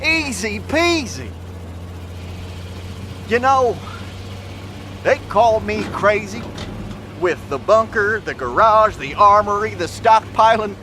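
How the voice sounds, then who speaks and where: A man's voice: sits high, expressive, takes it slowly, long pauses, bright, forceful tone, heavily acted. An actor playing a cocky, boastful middle-aged survivalist talking folksily to his own camera in an audio drama, swearing freely. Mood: smug, vindicated. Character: cocky middle-aged male doomsday prepper driving an armored truck. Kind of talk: self-recorded video monologue in audio drama. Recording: noisy inside a moving vehicle. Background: vehicle engine and road noise.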